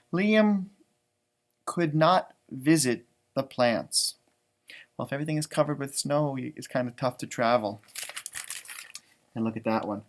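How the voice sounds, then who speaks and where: Expressive American man reading to children. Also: a little high. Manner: expressive, stretches words for emphasis, explains simply to children. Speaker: elementary music teacher reading storybooks aloud. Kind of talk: children's picture-book read-aloud with chatty asides.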